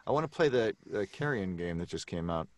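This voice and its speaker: A man talking casually in a group chat, halting at times, with dry self-deprecating humour. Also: deep voice, animated, crowd noise. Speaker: voice actor on a horror podcast.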